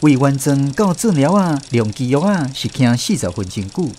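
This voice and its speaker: A man's voice: medium pitch, big pitch swings, moderate pace, darkish tone, slight background noise, close to an everyday voice. A man with a Taiwanese accent playing a grown man who narrates fond memories of his country childhood in a radio drama. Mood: reminiscing.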